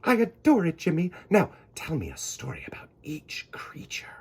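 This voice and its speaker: A man's voice: on the high side, huge pitch swings, moderate pace, creaky, darkish tone, in a whisper, pitched above the performer's own voice, exaggerated voice. A man voicing a doting, encouraging grandmother in a picture book, effusive and affectionate. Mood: delighted.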